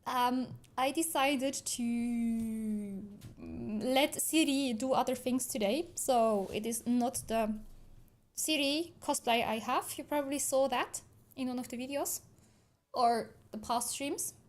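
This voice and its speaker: A high-pitched woman, talking to her viewers in an unhurried, easygoing way. She reads their comments aloud and drifts into long, chatty stories, laughing often as she goes. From Switzerland. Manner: chatty, rambling storyteller who laughs often. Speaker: livestreamer. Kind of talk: casual chat, reading viewer comments aloud.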